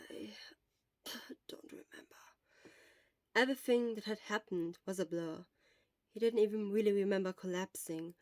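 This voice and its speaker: A woman's voice: mid-range voice, darkish tone, dry recording, clean studio sound. A woman reading a scripted story aloud as a narrator. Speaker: podfic reader.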